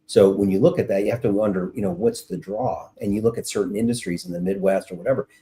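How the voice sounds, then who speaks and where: A middle-aged man, a guest on an interview, making emphatic points and reasoning out loud with restarts. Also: lowish voice, lively intonation, quick pace, vocal fry. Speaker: guest, relocation author. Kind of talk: casual interview answers.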